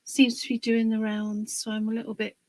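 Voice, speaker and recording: A woman, slightly hoarse from a cold, chatting casually on a livestream while she works on a craft project, pausing with fillers. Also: fairly high pitch, monotone, darkish tone, no echo. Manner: chatty, rambling, pauses with fillers while working.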